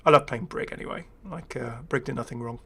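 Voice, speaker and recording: A man presents a gaming video in a casual commentary style. His voice sits at an average male pitch. He talks in a chatty, meandering way, as a host thinking out loud. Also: quick pace, vocal fry, slight background noise. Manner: rambling, chatty.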